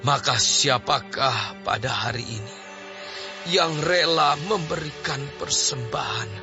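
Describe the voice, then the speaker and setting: A man's voice: medium pitch, slow. An actor playing an aged, devout King David in an audio drama, solemn and declamatory, speaking with reverent deliberation. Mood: solemn, earnest. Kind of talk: audio drama Bible acting. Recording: slightly dull audio under clear music.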